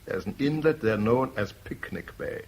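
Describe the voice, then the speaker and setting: An actor playing a suave, calculating rival in a 1970s radio drama, urbane and faintly mocking. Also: male voice, medium pitch, expressive, normal speed, slightly rough. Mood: brisk, mocking. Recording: noisy old radio broadcast recording.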